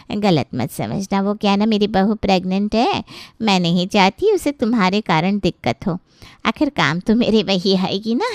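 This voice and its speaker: Woman as an animated, self-serving older aunty. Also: medium pitch, dry recording, clean studio sound, natural voice. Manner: hesitant, evasive, mock-polite.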